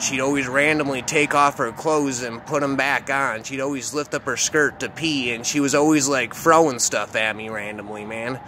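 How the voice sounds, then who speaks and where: A man telling a dark first-person story in a casual, rambling way, often adding asides and calling the listener "man". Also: mid-range voice, noisy background.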